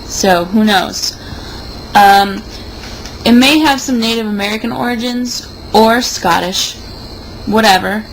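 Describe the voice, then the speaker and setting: A woman's voice: medium pitch, slow pace, long pauses, rough recording. A young woman in a casual, rambling monologue, sounding tipsy and sardonic. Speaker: vlogger. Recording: noticeable background noise.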